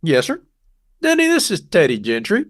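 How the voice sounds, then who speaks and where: A man's voice: high voice, normal speed, dry recording, clean studio sound. A man from Texas co-hosting a podcast, telling anecdotes in a casual, joking way like a former radio presenter. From Texas, United States. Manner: animated, joking, acts out quoted dialogue.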